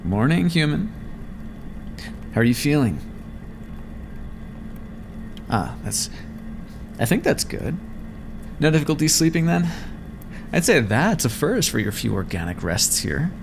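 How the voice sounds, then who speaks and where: Man acting a creaky-voiced, condescending vampire experimenter. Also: on the high side, very animated, unhurried, long pauses, noisy background, full character voice. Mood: clinical, musing. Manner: condescending, teasing, musing aloud. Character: clinical, smug male vampire experimenter toying with captive. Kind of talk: ASMR roleplay, intimate scripted monologue.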